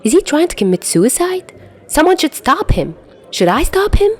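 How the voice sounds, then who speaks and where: A woman performs a young woman commuter in an audio fan fiction story. She is excitable and incredulous, exclaiming her thoughts with wide swings in pitch as she tells of a supernatural disaster around her. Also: normal speed. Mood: bewildered, alarmed. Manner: incredulous, exclamatory inner monologue. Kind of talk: first-person fan fiction narration.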